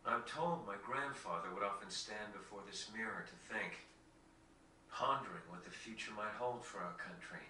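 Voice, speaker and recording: A man playing a solemn young prince in a video game cutscene, speaking in a formal, measured, earnest way. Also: lowish voice, sharp tone. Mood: solemn, reflective. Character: solemn, gentle young prince burdened by royal legacy. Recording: distant, echoey, faint background noise.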